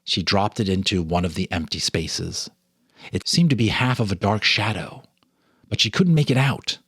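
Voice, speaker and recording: A man giving a dramatic reading of a ghost story, with suspenseful, deliberate phrasing and dramatic pauses. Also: fairly low pitch, fairly bright tone, breathy edge, no room sound, studio quality. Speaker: storyteller, narrator and host.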